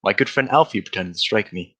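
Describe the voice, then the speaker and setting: An actor playing a shrewd, confident gentleman detective in old-style radio drama, politely explaining himself in an assured manner. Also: on the low side, expressive, fast talker. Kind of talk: 1940s radio drama acting.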